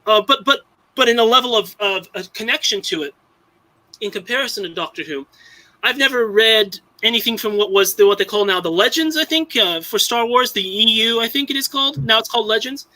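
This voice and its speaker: Bright, high-voiced young man rambling. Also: fairly quick, rough recording. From Southern California. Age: young adult. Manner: rambling, many restarts and fillers. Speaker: live-stream guest. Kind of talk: casual chat.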